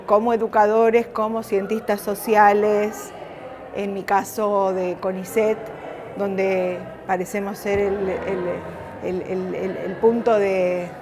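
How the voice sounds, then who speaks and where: Argentine woman academic talking off the cuff, medium pitch, stops and restarts, faint noise behind. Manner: halting, repeating words while searching for phrasing. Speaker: university academic. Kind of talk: unscripted spoken reflection on camera.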